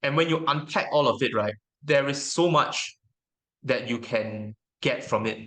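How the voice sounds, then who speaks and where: Man, native Mandarin speaker, interview guest, medium pitch, casual, reflective, hesitant with pauses. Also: a bit bright, rough recording. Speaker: interview guest, tabletop game educator.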